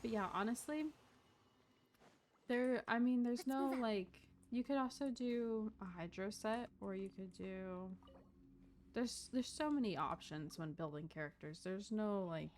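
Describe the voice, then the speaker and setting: A woman's voice: medium pitch, a little slow, a little mellow, a little hiss or hum. A woman livestreaming a video game, chatting casually in a rambling, easygoing way about play. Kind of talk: casual chat, gameplay commentary. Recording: game music behind. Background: video game music and character voices.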